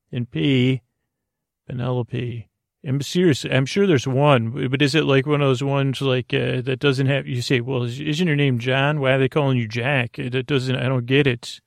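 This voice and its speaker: A man hosting a sleep podcast in a meandering, halting monologue, whimsical and self-deprecating, stammering and circling back on his words. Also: medium pitch, gravelly, dry recording, studio quality. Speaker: host of a bedtime story podcast.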